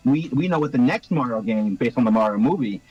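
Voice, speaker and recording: A man's voice: mid-range voice, expressive, quick pace, husky, highs cut off. A man co-hosting a live call-in stream, earnest and stumbling over his words as he argues a point. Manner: earnest, stammering restarts. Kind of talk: casual call-in discussion.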